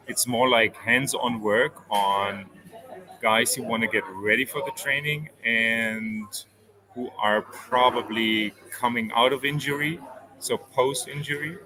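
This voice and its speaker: A man's voice: mid-range voice, steady tone, slow pace, a crowd in the background, rough recording. A man from Switzerland, a performance coach, answering interview questions in careful explanations with frequent ums. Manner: explains step by step with many fillers.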